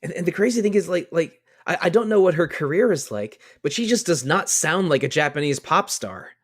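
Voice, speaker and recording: A man chatting on a podcast panel about game music, enthusiastic and halting, often restarting and repeating words. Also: a little high, expressive.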